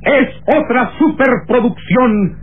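A man's voice: very high-pitched, slow pace, phone-quality sound. A man announcing an old radio serial in a dramatic scripted style. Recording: band-limited old radio serial, loud music.

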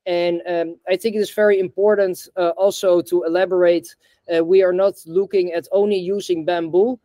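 Young guy from holland on a video call, highish voice, dry sound, lots of uhs and restarts. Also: level tone, normal speed, mellow, dry recording. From Netherlands. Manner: hesitant, many fillers and restarts. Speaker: guest, bamboo reforestation founder. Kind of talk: casual interview over video call. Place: indoor room.

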